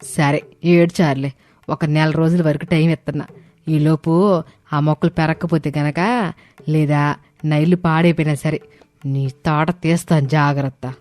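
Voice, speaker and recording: A woman playing a haughty, sharp-tongued rich older mother-in-law who scolds imperiously in a dramatized story. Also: low voice, steady tone, a little slow, pauses often, no echo. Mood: threatening, grudging.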